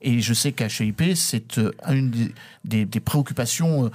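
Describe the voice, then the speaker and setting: A man's voice: mid-range voice, moderate pace, a little mellow. Host: man with a mid-range voice, formal, polite interviewer. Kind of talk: radio interview.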